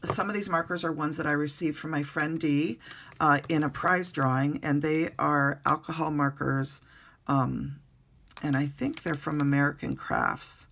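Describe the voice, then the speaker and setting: Hoarse, gravelly-voiced woman crafter. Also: low-pitched, measured pace, fairly bright tone, slight background noise. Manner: chatty, many ums and uhs. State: hoarse, getting over the flu. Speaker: card-making crafter. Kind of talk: casual craft tutorial. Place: upstairs bedroom at a desk.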